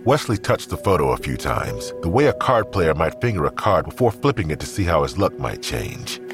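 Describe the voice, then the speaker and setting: A man with an extremely low voice narrates a crime drama. His delivery is measured and descriptive, with lively movement in pitch. Faint music plays underneath.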